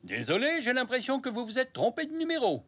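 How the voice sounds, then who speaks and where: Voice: mid-range voice, huge pitch swings, fast talker. A performer voicing a kindly, slightly muddled old grandfather zebra in a French children's cartoon. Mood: puzzled.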